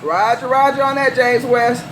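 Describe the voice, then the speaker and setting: A woman's voice: on the high side, fairly even intonation, moderate pace. American woman bantering on noisy CB radio. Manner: folksy, repeats calls and handles. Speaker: CB radio operator. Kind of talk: CB radio banter full of slang and sign-offs. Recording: noisy live CB radio setup.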